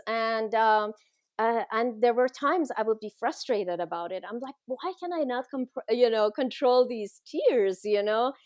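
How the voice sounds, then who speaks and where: A woman's voice: a little high, no echo, clean studio sound. A middle-aged woman from Iran telling her personal story conversationally as a podcast guest, in a heartfelt way.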